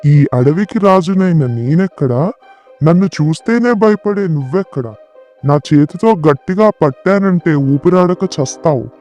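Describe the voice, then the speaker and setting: Man voicing a pompous mellow lion king. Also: fairly high pitch, lively intonation, a little slow, muffled recording. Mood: scornful. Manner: pompous, threatening. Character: vain, boastful, bullying lion king of the forest. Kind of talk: animated children's story dialogue. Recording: music behind the voice.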